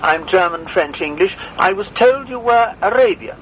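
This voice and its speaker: A man with a notably high voice speaks in a formal, old-fashioned and stern way, choosing his words with care. He talks as a dental patient on a phone call, and a faint hiss sits under his voice. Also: lively intonation, moderate pace, a bit bright. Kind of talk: phone conversation.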